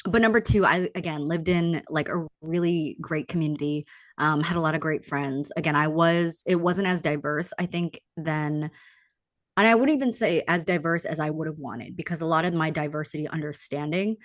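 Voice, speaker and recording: A woman's voice: sits low, moderate pace, dry recording, studio quality. A young American woman from upstate New York chatting casually and candidly as a podcast guest. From upstate New York, United States. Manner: informal, candid, frequent use of "like". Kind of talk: casual interview chat.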